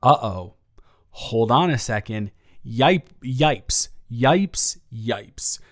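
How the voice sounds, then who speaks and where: A man's voice: medium pitch, expressive, extremely slow. A man playing a nervous, self-deprecating young male roommate in an improvised audio roleplay, stammering and repeating himself as he rambles. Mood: nervous, rambling.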